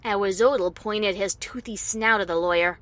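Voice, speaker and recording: A woman playing a wry, defiant adventurer heroine, narrating her story in the first person with expressive, dramatic delivery. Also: medium pitch, moderate pace, natural voice. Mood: wry, tense.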